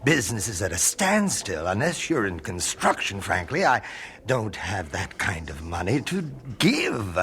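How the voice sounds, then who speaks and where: An actor playing a cautious, courteous 19th-century foundry owner in an audio drama, speaking formally and deliberately. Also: male voice, fairly low pitch, huge pitch swings, a bit bright, exaggerated voice. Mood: reluctant, astonished.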